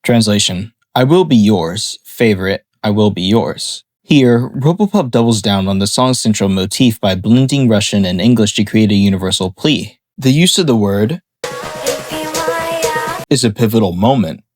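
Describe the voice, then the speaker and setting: A man reading a scripted analysis of a song in a measured, explanatory way. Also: on the low side, lively intonation, darkish tone, soft background music. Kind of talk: scripted narration.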